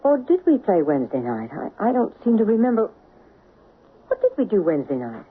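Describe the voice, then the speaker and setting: Nervous husky-voiced wife, old radio. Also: female voice, mid-range voice, sing-song, fairly quick. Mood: anxious. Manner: faltering, hesitant. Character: nervous, hesitant, quietly suspicious wife. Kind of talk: radio mystery drama acting. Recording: narrow-band old radio sound.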